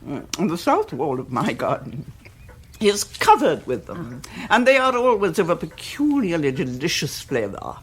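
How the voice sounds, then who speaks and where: Slow, imperious English grande dame. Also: female voice, low voice, very animated, breathy edge, exaggerated voice. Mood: haughty. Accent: upper-class English. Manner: pompous, stately, commanding. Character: imperious, self-satisfied aristocratic older English lady. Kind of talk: radio comedy drama acting.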